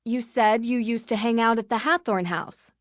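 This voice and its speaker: A woman playing a reasonable young female detective in a video game, asking questions in a clear, measured, earnest way. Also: a little high, dry recording, studio quality, close to an everyday voice. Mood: sympathetic.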